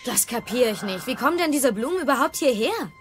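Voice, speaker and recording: High-voiced teenage Viking warrior girl played by a woman. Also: lively intonation, fairly quick, faint music behind, lightly acted. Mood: skeptical.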